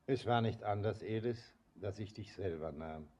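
A man's voice: on the low side, moderate pace, warm tone, husky. An actor playing a brooding, sentimental ageing father and mine master in a German radio play, declamatory and lyrical. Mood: brooding, tender.

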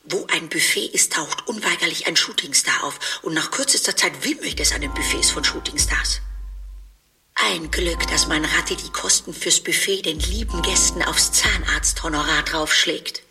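An actress playing a vain, wealthy dentist's wife in a radio play, who gushes sweetly and mutters scornfully. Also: female voice, deep voice, moderate pace, music in the background. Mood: catty. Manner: shifts between sugary gushing and muttered scorn. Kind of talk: radio drama acting.

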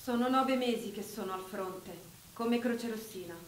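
An actress playing a sorrowful First World War Red Cross nurse, giving a grave, subdued testimony in a stage monologue. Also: female voice, on the high side, moderate pace, close to an everyday voice. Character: young wartime Red Cross nurse, sorrowful, recalling horrors. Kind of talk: dramatic monologue of a wartime testimony. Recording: roomy, loud guitar music behind.

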